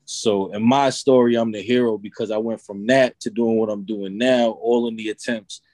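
A man's voice: lowish voice, fairly even intonation, moderate pace, darkish tone, no echo. A young man with a New York accent, a rapper talking casually as a guest, often adding "you know what I'm saying". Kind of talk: casual interview talk.

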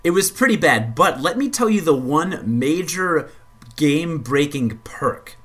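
A man's voice: a little high, animated, a little slow, fairly bright tone, slightly rough. Rambling man in casual gaming chat. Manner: rambling, stammering repeats, swears when annoyed. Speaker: podcast co-host.

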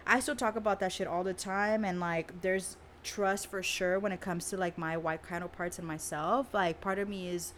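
A woman's voice: on the low side, steady tone, normal speed, hardly any pauses, fairly bright tone, slight background noise. Candid young woman, native Spanish speaker. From San Diego, California. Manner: candid, frank, swears casually. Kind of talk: casual conversation.

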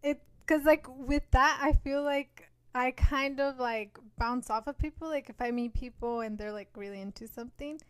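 A woman co-hosting a podcast in casual, slangy chat with animated reactions. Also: sits high, moderate pace, hardly any pauses, clear, resonant tone, no echo. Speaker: podcast co-host.

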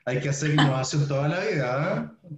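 Talkative Chilean man in a roomy space. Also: fairly high pitch. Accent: Chilean Spanish. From southern Chile. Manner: relaxed, talkative, fills pauses with "ya". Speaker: guest researcher. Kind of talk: interview conversation. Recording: roomy, dull sound.

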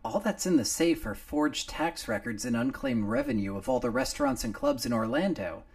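A man voicing an older, guilt-ridden male gangster with a gruff, confrontational way of talking. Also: a little high, huge pitch swings, normal speed, voice pushed up, exaggerated voice. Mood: tense.

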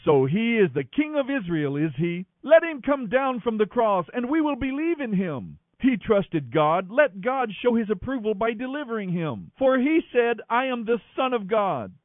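A man's voice: fairly high pitch, very animated, moderate pace, few pauses, no room sound. A man voicing haughty male religious leaders, scoffing and sarcastic, in a scripture story. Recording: thin narrow-band radio-like sound.

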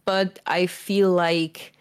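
Loud, low-voiced young woman chatting. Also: flat delivery, extremely slow, clear, resonant tone. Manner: loud, strong voice; chatty, rambling with fillers. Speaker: musician guest. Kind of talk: casual interview chat.

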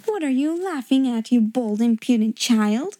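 A woman's voice: on the high side, expressive, a little slow, mellow, no room sound. A woman reading a storybook in the role of a strict, haughty schoolmistress, imperious and breathless with indignation. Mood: outraged, demanding.

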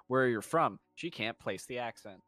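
A man's voice: medium pitch, lively intonation, normal speed, fairly bright tone. A man co-hosting a podcast, joking crudely, swearing freely and laughing often. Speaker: podcast co-host. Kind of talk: casual podcast chat.

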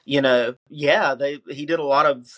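A man joins a podcast as a guest. His voice sits at an everyday middle pitch, and he argues with emphasis, often stopping mid-sentence to restart and peppering his talk with fillers. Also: fairly even intonation, normal speed, a bit bright. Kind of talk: casual conversational interview.

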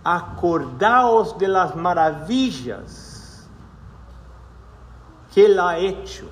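Slow-spoken Brazilian pastor, high voice. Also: male voice, sing-song, a little hiss or hum. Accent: Brazilian Portuguese. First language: Portuguese. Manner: slow, measured, with hesitant fillers. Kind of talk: sermon. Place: church.

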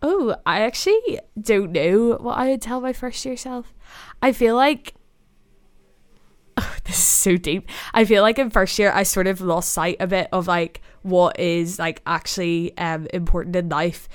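A young woman with a Northern Irish accent, a podcast host being interviewed, chatting casually, rambling and apologising, with a deep voice and frequent laughs. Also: a little slow, clean tone. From Northern Ireland. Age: young adult. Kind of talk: casual chat.

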